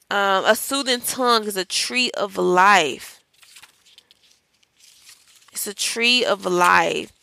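Woman podcast host, bright, gravelly voice, chatty and encouraging, dry close mic. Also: mid-range voice, no room sound. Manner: chatty and encouraging, addresses listeners as y'all and child. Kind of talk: casual faith-based podcast talk.

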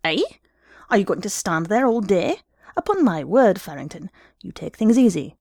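A woman voicing a furious, shrill little office boss, a scolding and sarcastic man with a North of Ireland accent, in a story reading. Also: lively intonation, normal speed, a little mellow, no echo, studio quality. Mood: sarcastic, impatient. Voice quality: shrill, piercing. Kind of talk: dramatised literary story reading.

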